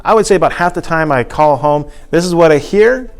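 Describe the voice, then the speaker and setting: A man's voice: on the high side, animated, brisk. A man giving an informal instructional talk as a teacher, explaining things conversationally and repeating phrases for emphasis. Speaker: teacher and presenter.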